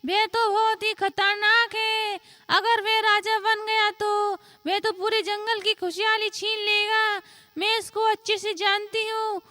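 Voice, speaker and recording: Very high, bright cartoon fox. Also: female voice, no room sound, heavily acted. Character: earnest female fox in a cartoon fable. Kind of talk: animated moral story acting.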